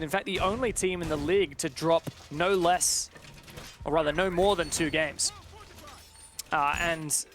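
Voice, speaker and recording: A man's voice: sits high, a bit bright. A man giving live esports play-by-play commentary with dramatic, colourful phrasing, as a match caster.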